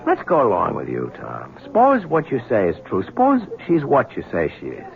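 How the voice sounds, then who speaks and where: An actor playing an earnest, level-headed reporter in a 1970s radio drama, on a dull old recording. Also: male voice, fairly low pitch, wildly swooping pitch, normal speed, gravelly, heavily acted.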